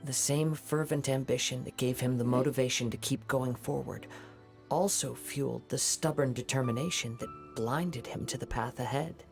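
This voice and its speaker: A man voicing a calm, reflective young wandering swordsman in a video game, speaking in a measured, thoughtful, formal manner. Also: medium pitch, gravelly, close to an everyday voice. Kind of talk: video game character acting. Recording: loud music behind the voice.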